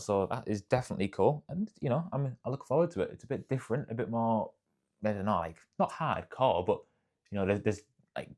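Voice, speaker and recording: A young man rambles along in easy vlog chat, picking up and dropping his sentences. His voice sits fairly low, and a clip-on mic catches him close. The sound has the slight echo of a cold garage. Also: darkish tone. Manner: chatty, rambling, self-correcting. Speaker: fitness vlogger. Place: outdoors by a busy road; cold garage gym, echoey.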